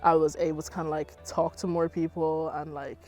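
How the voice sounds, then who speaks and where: A young Irish woman, an athlete, chatting casually about her running, full of "like" and lively retellings. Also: sits low, fairly quick, faint music behind. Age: young adult. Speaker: athlete interviewee. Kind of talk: casual interview answers.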